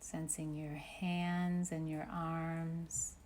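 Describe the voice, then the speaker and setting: Slow, low-voiced woman guiding meditation. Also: flat delivery, slight background noise. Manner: gentle, unhurried instructions with long pauses. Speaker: meditation teacher. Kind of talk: guided meditation.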